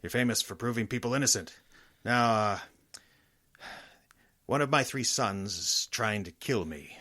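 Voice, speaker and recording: A wealthy man of sixty-eight played by a male actor in a radio play. His voice is low but bright and forceful. He talks plainly and haltingly, suspicious of his own family. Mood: earnest. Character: wealthy 68-year-old father, suspicious of his parasitic sons. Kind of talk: radio drama acting.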